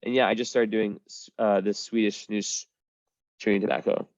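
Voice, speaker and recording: A man's voice: fairly low pitch, steady tone, normal speed, no room sound, lo-fi sound. A young man giving a casual astrology reading, rambling with lots of fillers, restarts and the odd swear. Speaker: astrology reader. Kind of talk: casual one-on-one chart reading.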